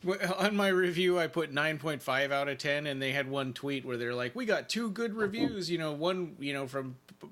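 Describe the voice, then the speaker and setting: Bright-voiced man chatting as podcast co-host. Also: a little high, moderate pace, few pauses. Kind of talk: casual review chat.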